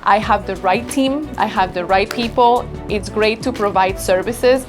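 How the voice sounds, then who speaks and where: A woman's voice: mid-range voice, unhurried. Grateful Ecuadorian-born woman founder, with music underneath. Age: 30s. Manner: earnest, grateful storytelling. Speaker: business founder. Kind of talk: interview answers. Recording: background music bed.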